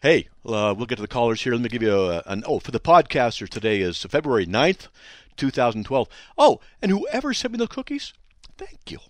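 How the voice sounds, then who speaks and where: A man hosting a call-in radio show from a studio, his voice hoarse and scratchy, chatting and joking with listeners. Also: medium pitch, sing-song, a little fast, no echo. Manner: chatty and joking, breaking off to talk to people behind the studio window. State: hoarse, voice still shot. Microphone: studio microphone.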